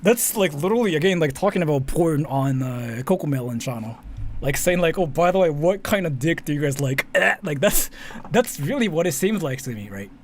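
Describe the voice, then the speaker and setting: Animated, higher-pitched man, native Japanese speaker. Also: brisk, a little hiss or hum. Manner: rambling, repeats himself, often checks with "right?". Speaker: YouTube commentator. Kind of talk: casual off-the-cuff commentary.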